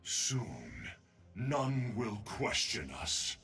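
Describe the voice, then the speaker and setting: An actor playing a proud, imperious desert emperor in a video game, grand, haughty and declamatory. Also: male voice, on the low side, very animated, drawn-out words, bright, forceful tone, slightly rough, faint music behind, full character voice. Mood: commanding, defiant. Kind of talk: video game character voice lines.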